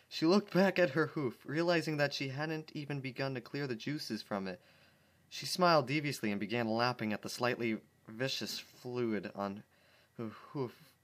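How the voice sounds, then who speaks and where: A man's voice: mid-range voice, fairly bright tone. A man reading fan fiction aloud at home, breaking off for sarcastic, exasperated commentary. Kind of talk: dramatic reading with comic asides.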